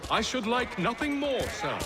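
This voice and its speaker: A man's voice: extremely high pitch, very animated, moderate pace, very noisy. An actor playing an eager, earnest young male aide in a game cutscene, polite and deferential. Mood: dutiful. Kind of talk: dramatic game cutscene acting. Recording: music behind the voice.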